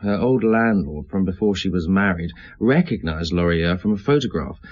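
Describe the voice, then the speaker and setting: An actor playing an earnest, dutiful police subordinate in a radio drama, speaking in a matter-of-fact way. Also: male voice, low-pitched, animated, normal speed, darkish tone, dull audio. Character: earnest, dutiful male police subordinate reporting to his chief.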